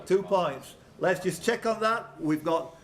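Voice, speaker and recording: A man hosting a live quiz, reading questions, calling on players by name and bantering excitedly with them. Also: high-pitched, measured pace. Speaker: quizmaster. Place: pub room with players around. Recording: noisy live pub room recording.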